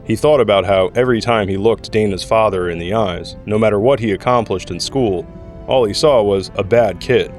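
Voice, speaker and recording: A man's voice: fairly low pitch, moderate pace. A man reading scripted narration for an audio drama. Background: background music.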